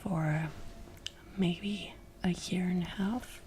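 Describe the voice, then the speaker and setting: A woman's voice: on the low side, fairly even intonation, very slow, a little mellow. A woman in her twenties from France, whispering a rambling, self-deprecating chat for ASMR, into a desktop microphone in a lamp-lit room at home. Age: 20s. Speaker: ASMR creator. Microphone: desktop USB microphone.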